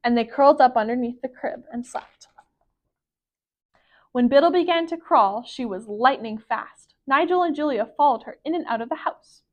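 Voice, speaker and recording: A woman reading a children's picture book aloud as a library storyteller, with expressive, careful phrasing. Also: high-pitched, moderate pace.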